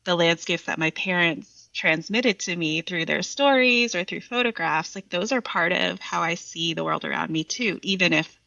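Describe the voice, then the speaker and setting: A woman's voice: lowish voice, moderate pace, gravelly, few pauses, pushed and bright, no echo, lo-fi sound. A woman from Virginia speaking as a podcast guest in thoughtful, casual conversation. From Virginia, United States. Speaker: podcast guest, poet and scholar.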